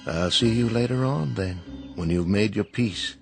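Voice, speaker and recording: An actor playing a young husband in a radio drama, speaking calmly, tenderly and soothingly. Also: male voice, on the low side, vocal fry, soft background music. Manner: calm, tender, slow and soothing. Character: gentle young husband, soldier's calm.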